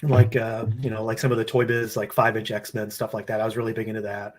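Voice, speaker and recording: A man's voice: fairly low pitch, quick pace. Rambling man in his late thirties. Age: 30s. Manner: relaxed, rambling with many fillers. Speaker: guest toy collector. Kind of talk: casual chat.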